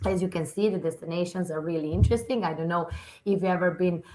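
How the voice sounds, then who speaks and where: A woman in her thirties from Serbia presents a webinar over a video call from an office. Her voice sits a little low. She talks in a friendly way, with frequent fillers and restarts. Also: fairly even intonation, fast talker, dark tone, low-quality recording. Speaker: university recruitment manager. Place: office room indoors.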